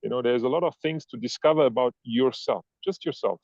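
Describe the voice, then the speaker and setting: German guy, mixed german italian accent, gravelly, dry dull lo-fi sound, rambles a bit. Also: medium pitch, brisk, a little mellow, no room sound. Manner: rambling, searching for words. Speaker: guest. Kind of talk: casual interview. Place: Ibiza.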